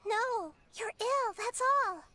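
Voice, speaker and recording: A woman voicing a small, wise young girl deity in a video game, measured, gentle and earnest. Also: very high-pitched, over music. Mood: earnest pleading.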